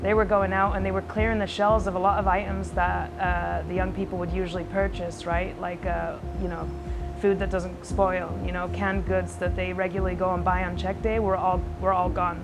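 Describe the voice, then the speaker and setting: A woman's voice: on the low side, little pitch movement, normal speed, over music. A woman in a conversational documentary interview, speaking earnestly and often tagging her points with a question. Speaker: youth homelessness worker.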